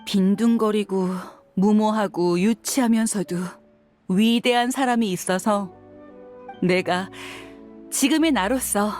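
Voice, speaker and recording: A woman's voice: mid-range voice, slow pace, darkish tone, faint music behind, natural voice. A woman voicing a frank young desert mercenary, tough but caring, with a casual manner in a dramatic game scene. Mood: wistful, grateful.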